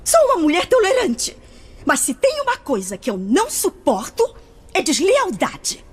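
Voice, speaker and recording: A woman's voice: very high-pitched, huge pitch swings, moderate pace, slight background noise, full character voice. A Brazilian woman dubbing a prim, authoritarian official for film, sugary yet menacing. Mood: sweetly menacing. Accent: Brazilian Portuguese. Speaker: voice actress, dubbing.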